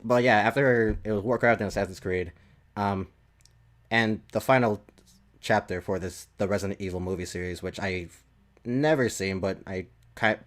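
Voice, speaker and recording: A man hosting a casual podcast chat, speaking in a hesitant, halting way and often repeating himself. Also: on the low side. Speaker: podcast host.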